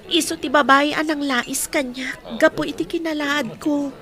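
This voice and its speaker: A young Ilocano daughter in a radio drama. Her voice is high and sharply bright, with the wounded temper of someone who has been mocked. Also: steady tone, moderate pace. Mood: hurt, indignant. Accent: Filipino, Ilocano.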